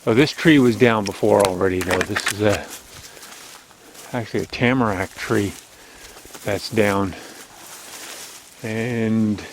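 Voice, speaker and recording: A man with a strong, loud voice hosting a walking video outdoors on an overgrown logging road, chatty and rambling as he narrates, recorded on a handheld camera. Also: lowish voice, takes it slowly, pauses often, background noise. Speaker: channel host. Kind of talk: casual walking vlog narration. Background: faint outdoor nature ambience.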